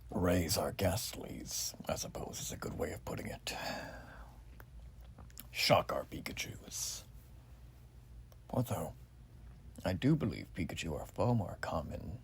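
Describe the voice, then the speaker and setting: Man acting a very low, whispery patriotic soldier. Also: exaggerated voice. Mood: bitter, scornful. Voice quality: breathy, often dropping to a whisper. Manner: polite, formal, quaintly old-fashioned phrasing. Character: earnest, bitterly patriotic revolutionary army soldier giving recruit checkups. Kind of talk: soft-spoken ASMR roleplay.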